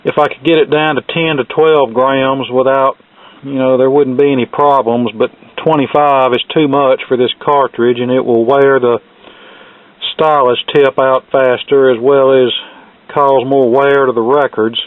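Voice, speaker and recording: A man's voice: mid-range voice, flat delivery, measured pace, dry recording, narrow, tinny audio. A man talking casually and off the cuff in a folksy way as he narrates hands-on repair work. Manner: folksy, unscripted. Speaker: repair channel host.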